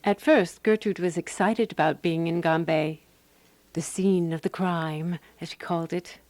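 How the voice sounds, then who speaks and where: A woman's voice: on the low side, steady tone, measured pace, a little mellow, lightly acted. Composed woman detective played by an actress in radio drama. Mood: measured narrating. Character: Alice, calm, practical woman amateur detective narrating her case. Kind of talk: radio drama dialogue and first-person narration.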